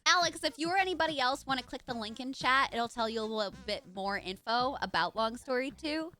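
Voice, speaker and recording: A young woman streaming a game from her home, chatting casually to viewers in an animated way at a desk mic. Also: high voice, a little fast, faint music behind. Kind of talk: casual live-stream commentary.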